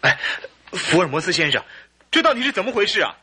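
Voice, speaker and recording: An actor playing an emotional, tender-hearted English husband in a theatrical, exclamatory Mandarin radio drama style. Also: male voice, high-pitched, big pitch swings, fast, bright, forceful tone, breathy edge, heavily acted. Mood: astonished.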